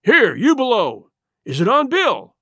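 A man voicing a villainous blind pirate beggar who shouts and curses imperious orders in a storybook reading. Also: high voice, big pitch swings, normal speed, dry recording, studio quality, full character voice. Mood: furious, commanding.

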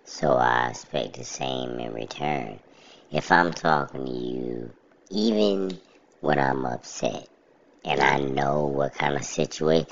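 Very deep, gravelly, slow-talking man. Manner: steady, plainspoken, self-described monotone. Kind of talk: casual monologue to camera. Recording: dull lo-fi recording.

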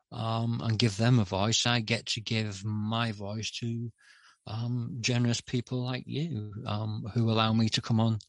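A middle-aged man with a lowish voice talks openly as a guest on a podcast. He hesitates, fills gaps with "um" and restarts his sentences. The recording is dry and close. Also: dry recording. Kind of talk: candid interview talk.

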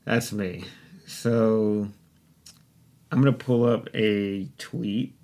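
A man's voice: on the low side, steady tone, drawn-out words, dark tone. Rambling, foul-mouthed young man podcaster. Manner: rambling, profane, self-deprecating. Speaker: podcast host. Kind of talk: casual solo podcast monologue. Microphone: desktop USB microphone.

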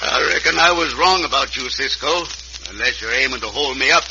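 An actor playing a folksy, bashful rancher in an old radio western, plain-spoken with an American Western accent. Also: male voice, mid-range voice, brisk, gravelly, bright, forceful tone. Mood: sheepish, grateful. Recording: muffled, noisy old radio recording.

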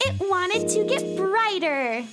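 A woman's voice: very high voice, animated, takes it slowly, loud music behind the voice, full character voice. A woman playing a cheerful, eager young joke-telling space girl with an earnest, emphatic manner in a children's audio comedy. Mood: playful.

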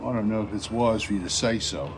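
A man playing a curious, cautious, polite agent in a science fiction audio drama, measured and skeptical. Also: on the low side, noisy background, close to an everyday voice.

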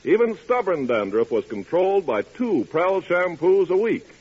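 A man's voice: high voice, big pitch swings, moderate pace, slightly rough. A man announcing on 1940s radio, with polished, emphatic commercial salesmanship. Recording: muffled, hissy 1940s radio recording.

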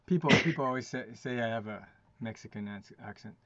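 A man as the guest in an interview podcast, telling stories in a casual, halting way with many restarts and laughs. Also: medium pitch, a bit bright, muffled recording. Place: lives in Quebec.

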